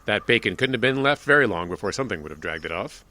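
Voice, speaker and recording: A man's voice: lowish voice, lively intonation, quick pace, pushed and bright, slight background noise. A man playing a dry, guarded small-town sheriff in a radio drama.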